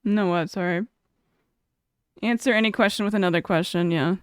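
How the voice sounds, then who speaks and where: A woman's voice: medium pitch, moderate pace, clear, resonant tone, no room sound, clean studio sound. A young woman streamer chatting casually, reading chat aloud and laughing often.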